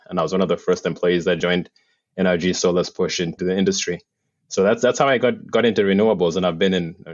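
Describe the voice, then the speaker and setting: A man's voice: sits low, no echo, highs cut off. A man from Uganda, a podcast guest, recounting his career matter-of-factly. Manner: matter-of-fact storytelling. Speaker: guest, company co-founder. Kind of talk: interview answers.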